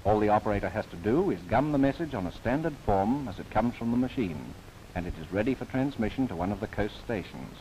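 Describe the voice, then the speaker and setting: Low, lively 1930s newsreel-style male narrator. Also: quick pace. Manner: formal, theatrical phrasing with wry asides. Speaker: film narrator. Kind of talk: 1930s government documentary narration, scripted. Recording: noisy 1930s film soundtrack.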